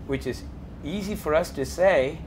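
A man's voice: a little high, unhurried, slight background noise. A man speaking on a business panel, laying out his points one by one like a presenter. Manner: listing points methodically, presenter-like. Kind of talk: panel discussion.

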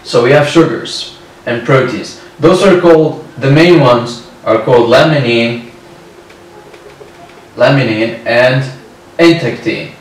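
A man in his twenties giving a whiteboard lecture on histology, speaking slowly and repeating key terms. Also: mid-range voice. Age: 20s. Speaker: medical lecturer. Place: indoor room at a whiteboard. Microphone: far camera. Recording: very echoey room, distant mic.

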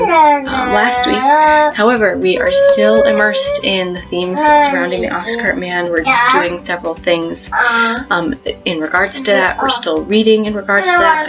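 30s woman chatting to camera in a homeschool vlog, voice goes up and down a lot, pauses to think. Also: medium pitch, a bit bright, rough recording. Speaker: homeschooling parent and video host. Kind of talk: casual explanatory vlog to camera. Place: small plain room at home, indoors.

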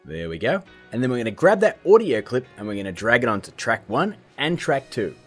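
Casting: male tutorial host, thirties, lively swooping delivery, slightly rough voice, jokey and casual. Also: medium pitch, a little fast, faint music behind. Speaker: host of a filmmaking tutorial show. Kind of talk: playful scripted tutorial to camera.

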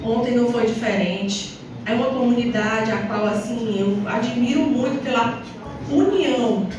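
A woman with a northeastern Brazilian accent giving a semi-formal, somewhat halting public speech as a town councillor. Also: fairly high pitch. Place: small council chamber, indoors. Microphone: phone or camera several metres away. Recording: distant, very echoey, noisy room recording.

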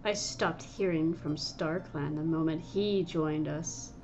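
A woman's voice: low-pitched, takes it slowly, darkish tone, a little hiss or hum. Woman doing a sour stubborn young she-cat medicine cat, all heated, muffled lo-fi audio. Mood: agitated. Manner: agitated, heated.

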